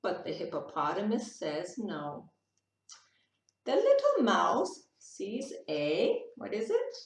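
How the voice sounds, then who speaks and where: A middle-aged woman reads a storybook to small children with hugely swooping intonation. She takes it slowly, posing questions as a teacher would. The sound is roomy and a little distant from the microphone. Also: medium pitch, vocal fry. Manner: animated, questioning, pausing for young listeners. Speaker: English teacher reading to children. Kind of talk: children's picture-book read-aloud. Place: small room indoors.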